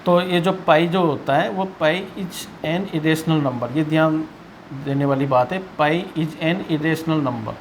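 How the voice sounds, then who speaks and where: A man's voice: on the high side, slow, a little hiss or hum. An Indian man teaching maths in an explanatory lesson style, asking and answering his own questions and repeating key points.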